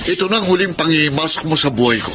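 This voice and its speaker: Man acting as hot-tempered womanizing big brother, fairly high voice, domineering, radio drama. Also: animated, rapid-fire, noisy background, close to an everyday voice. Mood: furious. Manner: defiant, domineering. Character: brazen, hot-tempered philandering older brother.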